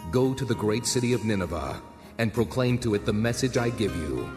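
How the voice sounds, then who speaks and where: A man playing God in a dramatized Bible reading, calm, stately and commanding. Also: lowish voice, fairly quick. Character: authoritative, calm male God. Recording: long reverb, music behind.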